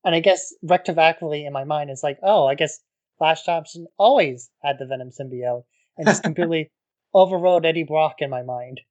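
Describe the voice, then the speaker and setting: A man co-hosting a podcast, talking in a relaxed way with wry asides. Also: a little high, brisk, lo-fi sound. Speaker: podcast co-host. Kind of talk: casual podcast discussion.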